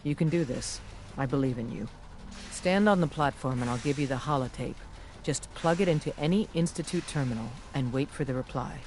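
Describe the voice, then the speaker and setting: An actor playing an earnest, secretive resistance leader in a video game, briefing an agent in an urgent, deliberate, persuasive way. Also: male voice, medium pitch, darkish tone, slight background noise, close to an everyday voice. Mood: urgent, encouraging.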